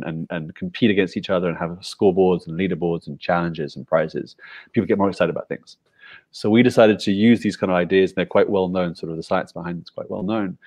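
Fast-talking low-voiced British man. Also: a little mellow, dry recording. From Britain. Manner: explains eagerly with rhetorical "right?" checks. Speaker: startup founder. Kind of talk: casual video call interview.

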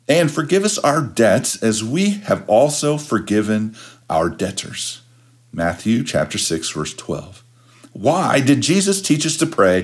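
Male pastor, devotional read, lowish voice, unhurried and deliberate with frequent pauses. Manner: measured, deliberate phrasing with pauses. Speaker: junior high pastor giving a devotional. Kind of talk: scripted devotional reading.

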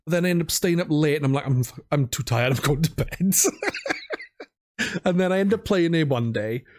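A man with a voice a little higher than most hosts a casual podcast on video games. He talks in an informal, chatty way and is quick to put himself down. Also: a little mellow. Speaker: podcast host.

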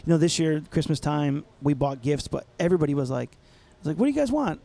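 Mellow-voiced reflective podcast co-host. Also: male voice, fairly high pitch, big pitch swings, fast, creaky. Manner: easygoing, reflective storyteller. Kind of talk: casual chat.

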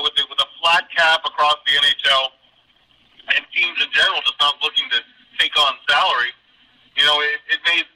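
A man with a fairly high, very bright and edgy voice gives sports analysis as an interview guest. He talks conversationally, feeling his way through phrases. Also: rough recording.

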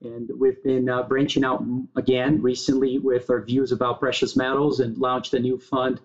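Flat-voiced Brazilian man, halting. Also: medium pitch. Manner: halting, many fillers and restarts. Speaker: guest. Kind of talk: interview answers. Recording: dull lo-fi sound.

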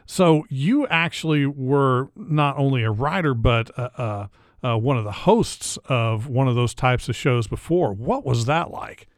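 A man's voice: medium pitch, measured pace, dry recording, studio quality. A man hosting a podcast interview, chatty and curious, often restarting his phrases. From Indiana area. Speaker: podcast host. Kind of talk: casual interview.

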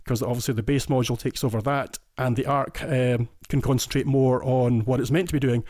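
A man from Scotland with a Scottish accent, chatting as a podcast guest. He goes into eager detail and keeps restarting his phrases. His voice sits in a middle pitch and sounds dry and close, with no room around it. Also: steady tone, a little fast, a little mellow, no room sound. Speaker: listener guest. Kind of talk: casual podcast chat.

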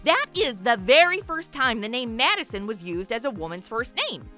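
A woman's voice: fairly high pitch, big pitch swings, moderate pace, pushed and bright, soft background music. A woman playing a sarcastic, entitled, brash modern princess with slangy speech in a comic radio play. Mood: excited, chatty. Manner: snarky, slangy.

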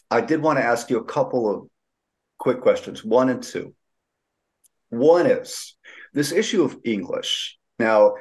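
A man's voice: mid-range voice, a little mellow. A man hosting an interview, asking long, enthusiastic questions full of fillers and restarts. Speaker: podcast host.

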